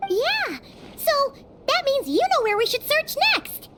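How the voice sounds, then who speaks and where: A woman voicing a small, cheerful female companion in an English game dub, with a very high, lively voice. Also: measured pace, heavily acted. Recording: faint background noise.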